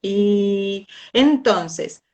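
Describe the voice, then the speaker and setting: A woman's voice: medium pitch, very slow, darkish tone, highs cut off. A woman from Brazil, a native Portuguese speaker, talking as a guest in a casual interview, with frequent laughter and hesitant fillers. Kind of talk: casual conversational storytelling.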